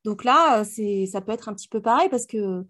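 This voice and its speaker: A woman's voice: medium pitch, expressive, fairly quick, fairly bright tone. A woman chatting casually in colloquial, slangy language, like a guest on a review podcast. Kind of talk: casual chat.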